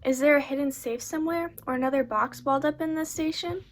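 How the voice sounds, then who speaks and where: A woman's voice: high-pitched, quick pace, close to an everyday voice. A woman playing an eager, questioning treasure hunter in a 1940s radio play.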